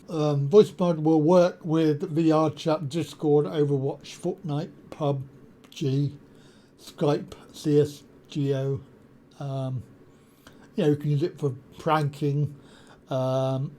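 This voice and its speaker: A man presenting a software tutorial in an unhurried, explanatory way, filling pauses with ums and uhs. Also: on the high side. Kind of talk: screen-recorded software walkthrough. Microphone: desk USB microphone.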